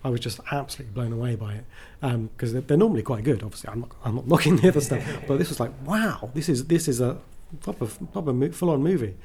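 A man with a dark, mellow voice at an average pitch talks as an interviewed writer. He is casual and enthusiastic, interrupting himself as he rambles along. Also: quick pace, few pauses.

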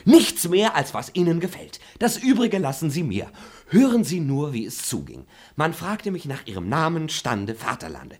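An actor playing a cheeky young manservant who mocks and teases his master with a husky voice in a radio play. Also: fairly high pitch, very animated, normal speed, a little mellow. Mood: mocking. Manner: teasing, laughs at his master. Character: cheeky scheming young manservant, mocking liar. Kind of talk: stage radio play acting.

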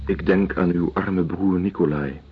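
Calm gentleman doctor, deep voice, courteous, radio drama, dull recording, music behind. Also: measured pace. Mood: reassuring. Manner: courteous, reassuring.

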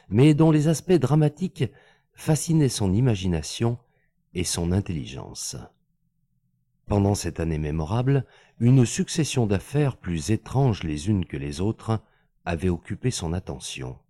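A man reading a classic novel aloud as an audiobook, with measured, expressive storytelling. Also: fairly low pitch, no room sound. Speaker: audiobook reader. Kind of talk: scripted narration.

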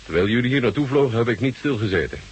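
Husky soviet marshal in a radio play, jovial old soldier, teasing but courteous, measured talker. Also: male voice, medium pitch, animated. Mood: calm, explaining. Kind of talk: radio drama acting.

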